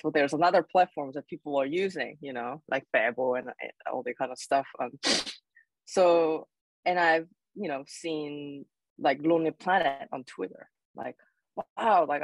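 A woman's voice: sits low, no echo, rough recording. A woman from South Korea in her thirties with a Korean accent, a guest chatting freely with many "you know" and "like" fillers. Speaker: guest, travel blogger. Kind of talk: casual interview answers.

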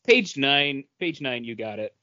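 A man's voice: mid-range voice, animated, unhurried, muffled recording, a crowd in the background. A man chatting casually as a co-host on a podcast. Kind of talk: casual chat.

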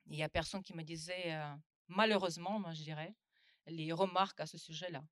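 A woman's voice: sits low, steady tone, brisk, a bit bright, dry recording, studio quality. A woman from Ukraine, Russian her first language, speaking at a round-table discussion, hesitant with many fillers and restarts. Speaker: panelist. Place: conference room.

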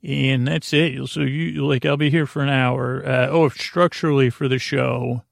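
A man with a gravelly voice, hosting a bedtime podcast in a halting, meandering monologue that repeats itself and trails off. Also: mid-range voice, fairly even intonation, no echo, clean studio sound. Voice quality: gravelly, creaky. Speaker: podcast host.

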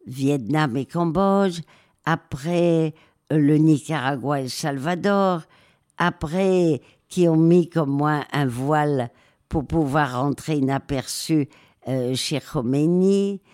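An older woman, a war photographer, answering interview questions slowly, with many pauses and hesitations. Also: sits low, a little mellow. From raised in Spain.

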